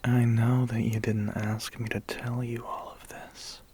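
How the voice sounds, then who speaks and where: A man's voice: medium pitch, flat delivery, measured pace, dark tone, husky. A man playing a smug, menacing, centuries-old vampire biker in an ASMR roleplay, whispering breathily with a condescending, teasing manner. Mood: ominous.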